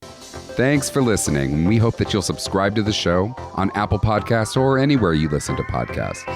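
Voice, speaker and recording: A man's voice: fairly low pitch, very animated, normal speed. A man reading a scripted promotional podcast intro and outro as an announcer. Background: music.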